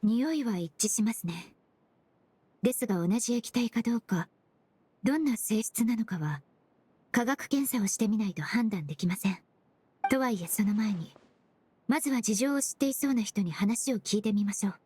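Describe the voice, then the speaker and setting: A calm, analytical young female investigator from a game played by a woman. Her voice sits fairly high and she lays things out politely, step by step. There is some faint noise behind her. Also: normal speed, natural voice. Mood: calm, businesslike. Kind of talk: Japanese game dub dialogue.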